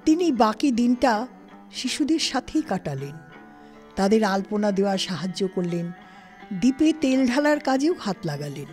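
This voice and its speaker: A woman narrating a literary story in an expressive, scripted style. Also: medium pitch, measured pace. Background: music.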